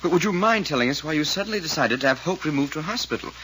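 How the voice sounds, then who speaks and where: A man's voice: on the high side, animated, rapid-fire, bright, forceful tone. A man acting a proper, earnest detective with a British accent in an old-time radio serial, on a noisy recording. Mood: questioning.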